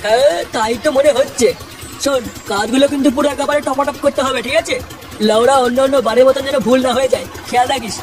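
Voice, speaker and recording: A bossy, irritable old cartoon builder who scolds in crude language. The pitch is unnaturally high and the delivery brisk. The sound is a little distant. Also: steady tone. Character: grumpy, foul-mouthed old cartoon mason, bossy boss. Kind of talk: comedy cartoon dub.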